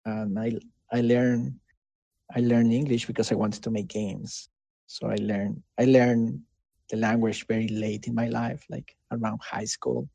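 Gravelly, mellow man with a Mexican accent. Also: mid-range voice, flat delivery, dry recording. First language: Spanish. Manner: earnest, halting, often seeking agreement. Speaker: interviewee, game developer. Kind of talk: casual interview answers.

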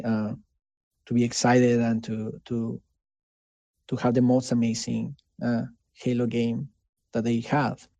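A man with a Mexican accent and Spanish as his first language, answering casually in an interview in an earnest, halting way, often checking for agreement. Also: medium pitch, warm tone, no echo, dull audio. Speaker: interviewee, game developer.

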